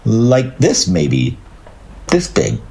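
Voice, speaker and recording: A man playing a good-natured, simple plumber in an audio drama, plainspoken, musing and earnest, with a husky voice. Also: mid-range voice, huge pitch swings, extremely slow, warm tone, heavily acted. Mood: earnest, puzzled.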